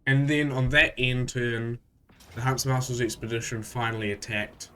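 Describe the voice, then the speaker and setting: A man with a stuffy voice from a cold, hosting a casual, relaxed recap of a strategy game with frequent fillers. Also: mid-range voice, moderate pace, a little hiss or hum. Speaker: gaming channel host.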